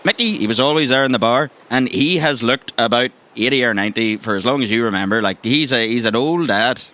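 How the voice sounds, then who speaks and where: A man's voice: medium pitch, brisk, sharp tone. A man with an Irish accent narrating a tabletop roleplaying game as game master, descriptive, with colloquial asides. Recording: music behind the voice.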